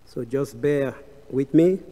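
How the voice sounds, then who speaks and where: A man with a strong French accent giving a devotional scripture class, speaking in a halting way with frequent hesitations and restarts. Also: mid-range voice, warm tone. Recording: long reverb of a large hall.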